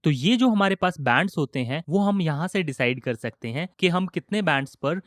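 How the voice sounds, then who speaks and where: A man with an Indian accent narrating a software tutorial in a methodical, explanatory way, step by step. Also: fairly high pitch, animated, normal speed, no echo. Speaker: music software instructor.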